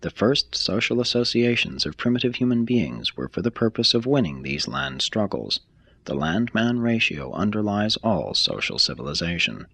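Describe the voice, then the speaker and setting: A man reading a scripted text aloud as an audiobook narrator, with a measured, formal delivery. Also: lowish voice, very animated, creaky, a bit bright, slightly rough, no echo. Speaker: audiobook reader.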